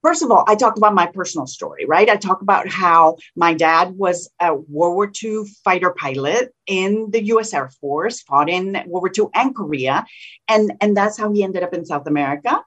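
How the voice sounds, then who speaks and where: A woman with a slight Colombian Spanish accent, a state senator talking in an interview in an animated, combative way with frequent restarts. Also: on the low side, moderate pace, breathy edge. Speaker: state senator, political guest. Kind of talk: casual political interview.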